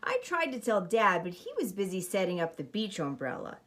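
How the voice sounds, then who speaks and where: Animated woman reading a picture book aloud. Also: medium pitch, brisk. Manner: animated, emphatic phrasing. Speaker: storyteller reading to schoolchildren.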